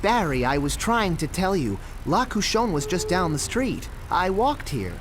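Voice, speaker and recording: A man voicing an anxious, put-upon young bee sidekick in a cartoon game, animated and fussy, with noise behind him. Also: sits high, unhurried. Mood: exasperated.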